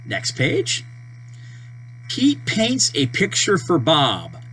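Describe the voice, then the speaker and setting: A person reading a children's storybook aloud, with deliberate, simple phrasing and page-turn prompts. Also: mid-range voice, poor audio. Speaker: storybook reader. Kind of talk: children's read-aloud narration. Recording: steady mains hum behind voice.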